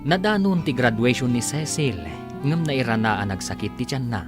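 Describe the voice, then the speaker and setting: Male serial narrator: theatrical announcer read, very animated, over prominent guitar music. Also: medium pitch, normal speed. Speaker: radio drama narrator and announcer. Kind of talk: dramatic scripted narration.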